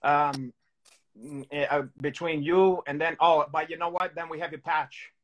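Guy on a video call with a high voice, jokey and teasing, keeps restarting his sentences. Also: steady tone, normal speed, rough recording. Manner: playful, teasing, rambling with many restarts. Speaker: co-host. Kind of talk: joking casual chat. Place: home room with candlelight.

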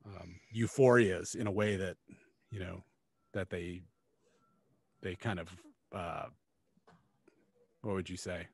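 A man's voice: deep voice, steady tone, slow, pauses often, slightly rough. A middle-aged man co-hosting a casual book review podcast, blunt and skeptical, swearing as he talks. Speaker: podcast co-host. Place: indoor room, remote video call.

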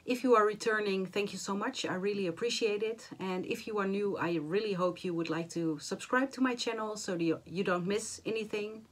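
A woman in her forties, hoarse and a little nasal after the flu, chatting to camera about her stitching projects from a small home craft room, with many fillers and restarts. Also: medium pitch, a little fast, few pauses. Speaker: cross-stitch vlogger. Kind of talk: casual chat to camera.

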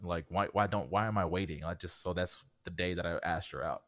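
A man's voice: low-pitched, a little fast. A man in his thirties co-hosting a casual podcast, telling stories in a wry way. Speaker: podcast co-host. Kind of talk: casual chat.

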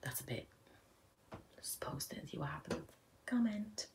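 A woman's voice: deep voice, animated, moderate pace, vocal fry. A young Irish woman at home, chatting playfully through a reaction video with whispered asides. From Ireland. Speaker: YouTuber. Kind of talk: casual reaction commentary. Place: indoors at home.

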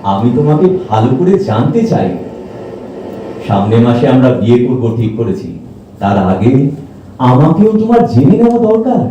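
Anxious young male suitor played by an actor, highish mellow voice, swooping intonation, pleading; echoey hall audio drama. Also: big pitch swings, a little fast, lo-fi sound. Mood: earnest. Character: Rahul, gentle, self-effacing, anxious young suitor. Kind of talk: Bengali audio drama acting. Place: multipurpose hall performance. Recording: echoey hall, distant mic, faint noise.

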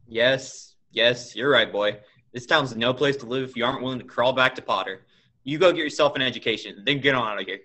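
Teen boy as kindly male parent, American, medium pitch, clear forceful edge, measured, earnest, radio play. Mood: resigned, encouraging. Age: teens. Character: kindly, principled middle-aged small-town father. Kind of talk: stage radio play acting.

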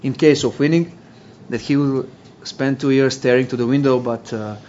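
Guy at a press conference, halting phrasing, dry jokes, dull lo-fi sound w faint hiss. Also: mid-range voice, fairly even intonation, dark tone. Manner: dry joking, halting non-native phrasing.

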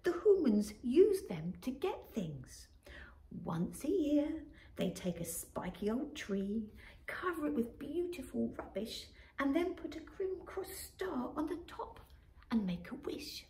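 A woman voicing a male magpie in a storybook who is greedy for shiny things, speaking in a hushed, conspiratorial way. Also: high-pitched, sing-song, slow pace, echoey room, distant mic, slight background noise, voice pushed up, full character voice, poor audio. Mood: scheming hush.

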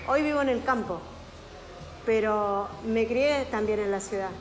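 A woman's voice: fairly high pitch, unhurried. A woman in her thirties with an Argentine accent, telling her personal story to a listening group. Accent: Argentine Spanish. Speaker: Mapuche activist and documentary protagonist. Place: classroom, indoors. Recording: echoey classroom with music behind.

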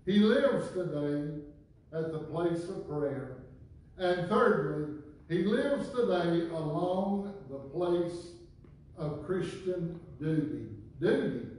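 An older man, a pastor, preaching a sermon from the pulpit of a small church, with a measured cadence that falls at the end of each phrase. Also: on the high side, darkish tone. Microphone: far camera. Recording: very echoey room, distant mic.

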